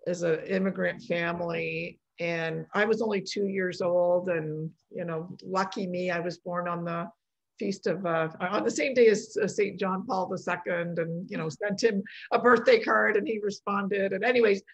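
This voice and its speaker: A woman's voice: fairly low pitch, steady tone, normal speed, gravelly, lo-fi sound. A middle-aged woman from Poland hosting a casual podcast chat over a video call, chatty, laughing often and restarting her sentences. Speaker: podcast host.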